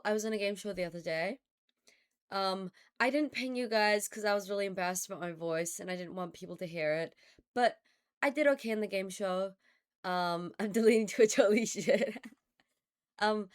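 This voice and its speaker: A woman streamer talks casually to her live chat in a hoarse, slightly gravelly voice that is still recovering. Her pitch sits in a middle range. She greets viewers one by one in a chatty way.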